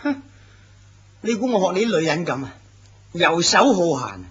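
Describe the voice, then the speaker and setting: A man playing a curt, husky company boss. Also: sits high, very animated, normal speed, a bit bright, heavily acted. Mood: dismissive. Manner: curt, domineering. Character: arrogant middle-aged male company president. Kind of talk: Cantonese radio drama acting. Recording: dull lo-fi recording.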